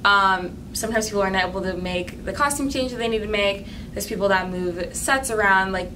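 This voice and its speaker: A woman's voice: medium pitch, a little fast. Teen girl rambling earnestly, sounds a bit far off, hiss behind. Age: teens. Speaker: drama crew student. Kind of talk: interview answers. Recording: distant mic, noisy.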